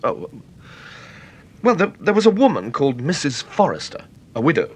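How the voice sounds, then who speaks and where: Radio mystery role: agitated, well-spoken British widower, rough-edged voice, wildly swinging intonation. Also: male voice, a little high, big pitch swings, normal speed. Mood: uneasy, candid. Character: anxious, agitated English widower, Mister Nelson. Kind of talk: radio mystery drama acting.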